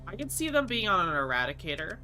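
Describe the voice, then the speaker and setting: A man's voice: sits high, very animated, fast talker, sharp tone, soft background music. Congested nasal man chatting while gaming. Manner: chatty, rambling, thinks aloud and answers chat. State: just woke up. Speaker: streamer. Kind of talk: casual live game commentary.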